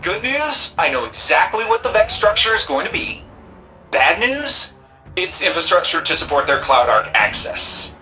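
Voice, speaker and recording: An actor playing an excitable, friendly ally in a sci-fi video game, gushing and playful. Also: medium pitch, normal speed, faint music behind, low-quality recording. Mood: wry. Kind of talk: video game mission dialogue.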